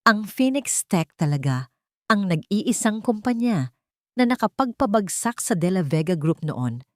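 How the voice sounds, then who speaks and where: A woman's voice: medium pitch, very animated, measured pace, dry recording, studio quality. A woman voicing admiring, gossiping business people in a dramatic story. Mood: impressed. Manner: impressed, gossiping.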